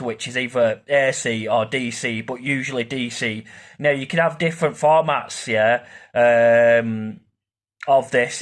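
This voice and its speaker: Guy doing a rambly ghost hunting vlog, hesitant, repeats himself, bit of swearing. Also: medium pitch. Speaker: amateur paranormal investigator and video maker. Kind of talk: informal explainer vlog, rambling.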